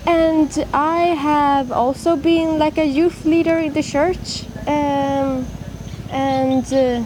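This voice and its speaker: A woman's voice: high voice, fairly even intonation, slow, background noise. A woman from eastern Sweden, a youth leader, introduces herself with many hesitations.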